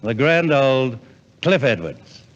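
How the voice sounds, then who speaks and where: A man's voice: mid-range voice, very animated, slow pace. A man hosting a 1950s radio variety show as its compere. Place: radio show before a live audience. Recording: muffled, noisy 1950s radio recording, loud crowd. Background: loud audience and noise.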